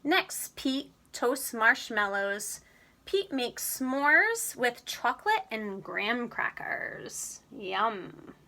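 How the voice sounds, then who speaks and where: A woman reads a picture book aloud for young children. Her voice is bright and fairly high, with lively swings in pitch as she tells the story expressively. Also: very slow. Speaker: storybook reader.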